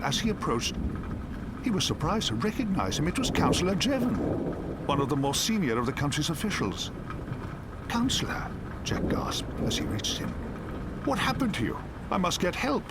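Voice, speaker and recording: A man reading a scripted fairy tale as a bedtime narrator, in a dramatic storytelling style. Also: mid-range voice. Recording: steady rain noise behind the voice. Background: rain.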